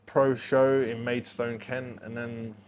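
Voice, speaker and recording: A man's voice: mid-range voice, level tone, slow pace, a little mellow. British man chatting over background noise. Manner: slangy, conversational, acts out remembered exchanges. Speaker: guest, boxing MC recalling his career. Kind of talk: casual podcast interview anecdotes. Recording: noisy background with ambient sound.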